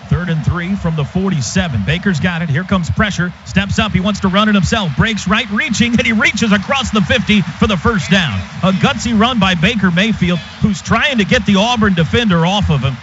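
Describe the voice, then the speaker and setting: A man's voice: high-pitched, fairly quick, hardly any pauses, fairly bright tone. A man doing live radio play-by-play of a football game in short, clipped present-tense phrases. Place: stadium radio booth. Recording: dull lo-fi radio broadcast, stadium noise. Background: clear stadium noise.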